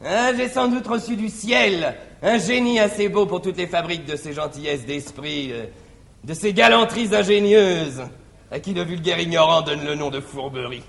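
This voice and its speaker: A man's voice: fairly high pitch, lively intonation, moderate pace, vocal fry, a bit bright. A man playing a wily, boastful valet in a classic French stage farce, theatrical and mock-modest, on an old theatre recording. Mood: boastful, mock-aggrieved. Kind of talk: classical stage comedy acting. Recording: noisy 1961 theatre recording with clicks.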